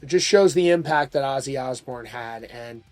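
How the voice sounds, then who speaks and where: A man's voice: mid-range voice, normal speed, dry recording. A man hosting a music news show, delivering scripted narration about current stories.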